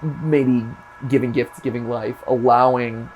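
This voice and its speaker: A man hosting a casual reaction chat, animated and jokey, now and then breaking into silly voices. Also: medium pitch, a little slow, background noise. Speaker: host.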